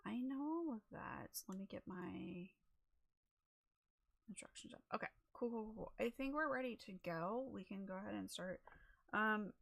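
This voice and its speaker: A woman chatting casually as she sets up a livestream, a little raspy and losing her voice, rambling with many fillers into a desk microphone. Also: medium pitch, lively intonation, normal speed, a bit bright. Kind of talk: casual chat while setting up a stream.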